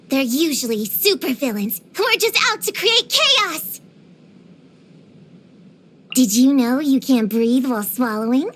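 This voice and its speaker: A woman's voice: high-pitched, fairly bright tone. A woman voicing an earnest, bookish young girl witch hunter in a video game, precocious, proud and slightly dramatic. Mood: wary, matter-of-fact. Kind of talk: scripted game voice lines.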